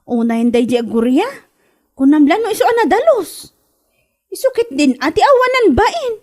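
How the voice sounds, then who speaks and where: A woman's voice: very high-pitched, very animated, normal speed, darkish tone. A woman playing a hot-tempered widow stung by gossip in an Ilocano comedy drama, theatrical and aggrieved, with an Ilocano accent.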